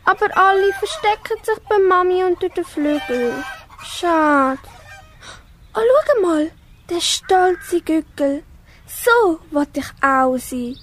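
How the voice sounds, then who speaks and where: A small, earnest kitten longing to belong , acted by a young girl. The voice is very high and childlike, with slow lines and meows in a Swiss German accent. It is an amateur radio play with faint noise behind. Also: lively intonation, fairly bright tone, exaggerated voice. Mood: curious, eager. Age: child or young teen. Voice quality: childlike, meowing. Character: small lonely curious kitten wanting to belong.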